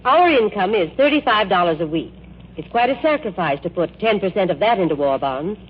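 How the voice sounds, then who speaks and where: An actress playing an earnest, sensible housewife giving a scripted 1940s radio testimonial. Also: female voice, medium pitch, animated, fairly quick, breathy edge. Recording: muffled old radio broadcast.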